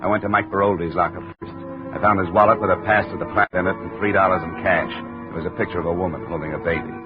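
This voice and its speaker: An actor narrating and playing a wry, tough insurance investigator in a 1950s radio drama. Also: male voice, deep voice, steady tone, quick pace, over music, natural voice. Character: hard-boiled male insurance investigator. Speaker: narrator and lead. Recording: dull 1950 radio broadcast audio.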